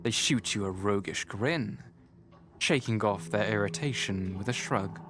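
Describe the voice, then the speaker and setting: A man playing a grave, literary narrator of a gothic visual novel, telling it in a measured way. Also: fairly low pitch, natural voice.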